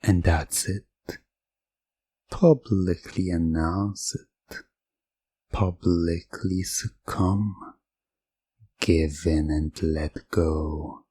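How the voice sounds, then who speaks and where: A man playing a commanding, possessive hypnotist game master in a hypnosis roleplay, speaking deliberately and repeating himself hypnotically. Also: low voice, fairly even intonation, dark tone, breathy edge, no room sound, heavily acted. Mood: triumphant, possessive. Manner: slow, deliberate, dominating, hypnotic repetition.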